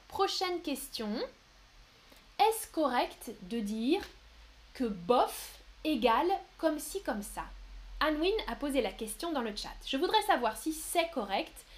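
High, slow-spoken woman teaching French. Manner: slow, clear and patient, often reading chat names aloud. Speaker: French teacher hosting a live Q&A stream. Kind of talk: live-streamed language lesson answering chat.

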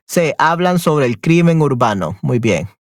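A man's voice: on the high side, very animated, unhurried, dry recording, studio quality. Upbeat bilingual male Spanish teacher. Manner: switches between Spanish and English, repeats affirmations. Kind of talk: online group class, reading and quizzing. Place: online class, talking to students.

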